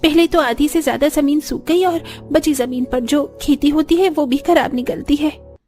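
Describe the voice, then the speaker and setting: A woman's voice: high voice, moderate pace, warm tone, faint music behind, close to an everyday voice. A woman voicing a weary, discouraged young village farm wife in a cartoon story, lamenting in a plaintive way. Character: weary, discouraged young farming daughter-in-law in a poor village.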